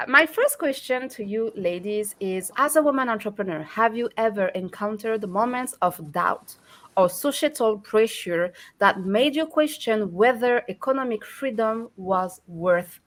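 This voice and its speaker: A woman's voice: a little high, expressive, measured pace. Woman hosting a panel interview. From immigrant to Canada. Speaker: podcast host.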